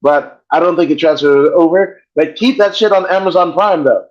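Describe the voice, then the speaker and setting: A man's voice: on the high side, moderate pace. A man hosting a podcast in casual chat, profane and rambling, joking as he goes. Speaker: podcast host. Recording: dull lo-fi sound.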